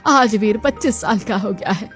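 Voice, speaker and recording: A woman playing an imperious old grandmother of a rich family in a dramatized moral story, speaking solemnly and deliberately. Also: medium pitch, fairly bright tone, faint music behind, natural voice. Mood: solemn, certain.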